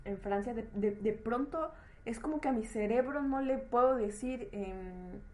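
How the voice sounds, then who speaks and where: A woman's voice: on the high side, a little slow, a little mellow. A woman with a Latin American accent hosting a podcast and interviewing in a casual, chatty way. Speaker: podcast host.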